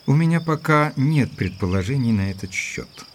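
An actor playing an earnest, guarded gentleman doctor in an audio drama, narrating in a measured, literary and formally courteous way. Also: mid-range voice, animated, a little hiss or hum, natural voice. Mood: guarded, polite.

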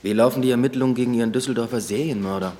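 An actor playing an earnest young police inspector who gives quick, guarded answers in a radio drama. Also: male voice, mid-range voice, dark tone, in a large hall, slight background noise, natural voice.

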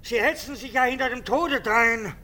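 A high-pitched, excitable army captain. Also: male voice, moderate pace, exaggerated voice. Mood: agitated scolding. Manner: theatrical, swinging from exclamation to insinuating murmur. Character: excitable, sentimental, mocking army captain, pompous and anxious. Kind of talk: German radio drama acting.

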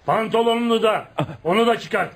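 A man's voice: sits high, brisk. A man acting a fierce, bossy, protective young man in a Turkish radio play, with an emphatic, theatrical delivery. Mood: menacing, mocking.

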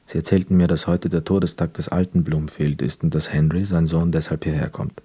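An actor playing a poor, wistful young man who narrates a literary radio drama in a measured, reflective manner. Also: very deep voice, flat delivery, slightly rough. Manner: measured, reflective, hushed inner asides.

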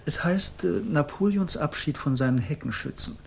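An actor playing a solemn young hippie poet who speaks in a lofty, formal way, in 1960s radio drama style. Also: male voice, on the high side, moderate pace, husky. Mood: earnest.

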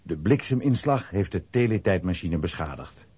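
A man with a husky voice narrating a scripted children's audio play. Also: low-pitched, lively intonation, normal speed, warm tone, slight background noise.

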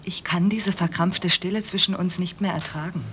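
Actress as querulous wife, faintly noisy tape. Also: female voice, lowish voice, steady tone, moderate pace, a bit bright, lightly acted. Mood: aggrieved. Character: discontented, contrary wife on a car trip. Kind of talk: German radio play acting. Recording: faintly noisy old cassette radio recording.

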